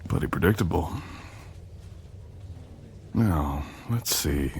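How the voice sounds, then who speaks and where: A man's voice: a bass voice, lively intonation, slow, vocal fry, darkish tone, a little hiss or hum, heavily acted. A man playing a powerful, dangerous shadow being, a smug and possessive boyfriend, in an intimate ASMR audio roleplay with dramatic, teasing delivery.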